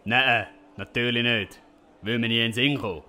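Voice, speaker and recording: A man's voice: mid-range voice, fairly even intonation, normal speed, pushed and bright. An actor playing a stubborn, boastful folk-hero father with a Swiss German accent in a comic audio play. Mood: defiant. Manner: defiant, declamatory.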